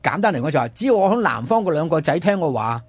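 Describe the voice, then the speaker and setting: A man with a Hong Kong Cantonese accent telling history conversationally as a radio host, animated and fond of rhetorical questions. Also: a little high, quick pace, husky, no room sound. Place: radio studio.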